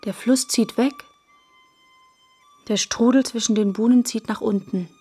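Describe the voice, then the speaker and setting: A woman's voice: fairly high pitch, steady tone, a little slow, mellow, slightly rough, soft background music. A woman reading literary prose for radio as a narrator, in a terse, plain-spoken way.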